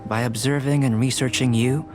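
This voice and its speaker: A calm, scholarly young alchemist from an anime game , played by a man. He speaks formally and deliberately with polite detachment, at an ordinary male pitch, over clearly audible music. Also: natural voice. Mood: intent, persuasive. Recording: background music under the voice.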